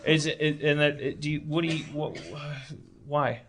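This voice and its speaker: A man's voice: a little high, steady tone, normal speed, very noisy. An American man hosting a comedy show, chatting with guests in a rambling, stammering, stop-start way.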